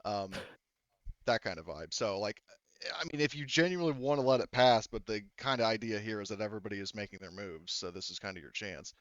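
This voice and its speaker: A man with a lowish, smooth, clear voice runs a tabletop roleplaying game. He explains and narrates casually, like chatting with friends. The recording is dry and a little dull. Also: fast talker, fairly bright tone, no room sound. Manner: informal, conversational, explanatory. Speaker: game master.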